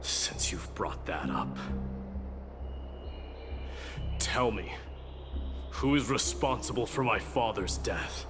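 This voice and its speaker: An actor playing an exiled warrior crown prince in a video game, formal, measured and resolute. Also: male voice, fairly low pitch, sing-song. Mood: quiet, confronting. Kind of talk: scripted video game voice acting. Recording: music underneath the voice.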